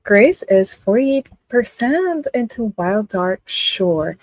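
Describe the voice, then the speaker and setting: A woman's voice: mid-range voice, sing-song, takes it slowly, dry recording. A young woman with a Filipino accent hosting a casual live reading stream, chatting and reading out viewer comments with lots of "like" fillers. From Philippines. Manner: chatty, many "like" fillers, laughs often. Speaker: livestream host.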